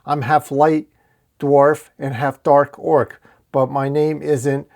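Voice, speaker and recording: A man's voice: fairly high pitch, little pitch movement, slow, creaky, a little mellow. A man voicing an exasperated, sarcastic half-dwarf, half-orc outcast in a comic fantasy story. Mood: mocking.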